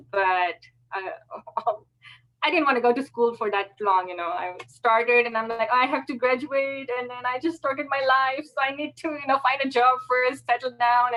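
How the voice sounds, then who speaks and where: A middle-aged woman from Fiji speaking informally and a little haltingly on a video call, telling her career story as a panelist. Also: a little high, fairly bright tone, low-quality recording. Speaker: panelist, alumna working as a supervisor.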